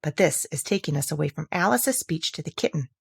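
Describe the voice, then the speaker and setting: A woman's voice: sits low, expressive, brisk, creaky, husky. A woman narrating a classic children's story as a scripted read-aloud. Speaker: narrator, audiobook reader.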